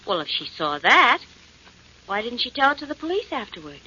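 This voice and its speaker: Lively, flirty radio sweetheart. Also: female voice, a little high, a little fast, breathy edge, heavily acted. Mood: playful. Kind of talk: 1940s radio acting. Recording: dull vintage radio, music behind.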